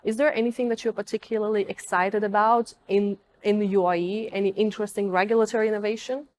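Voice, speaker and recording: A woman's voice: medium pitch, fairly even intonation, fast, a little mellow, slight background noise. A woman interviewer hosts with an upbeat, complimentary manner. Her voice sits in an ordinary middle range for a woman. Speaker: interviewer, host. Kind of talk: friendly interview hosting.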